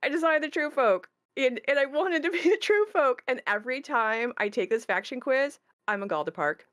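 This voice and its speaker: A woman's voice: high voice, expressive, fairly quick, a bit bright. A woman who works as a game designer, talking conversationally about how a game was made.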